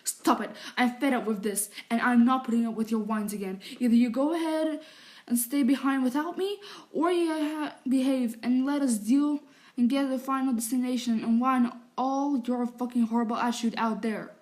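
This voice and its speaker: A woman voicing a young male wizard comforting his fiancé, speaking softly and partly in a whisper. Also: on the high side, steady tone, moderate pace, close to an everyday voice. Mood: angry, fed up. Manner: soft, hushed, partly whispered.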